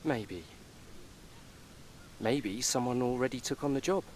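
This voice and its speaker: An actor playing a sarcastic, resentful young adult son in a naturalistic radio soap. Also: male voice, mid-range voice, unhurried, a little mellow, slight background noise, natural voice. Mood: wry, softening.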